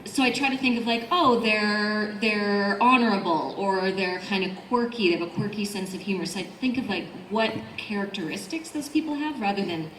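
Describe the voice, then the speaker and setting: Female panelist, conversational and animated explainer, roomy live sound with slight noise. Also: medium pitch, moderate pace, hardly any pauses. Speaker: voice actor, panelist. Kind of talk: casual convention panel talk. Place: large indoor convention panel room. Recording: echoey room, faint background noise.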